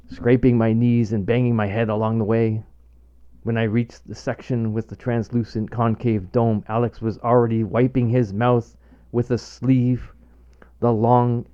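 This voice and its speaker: A man's voice: medium pitch, dark tone. A middle-aged man reading his own scripted humorous short story aloud as narrator. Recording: dull, lo-fi home recording.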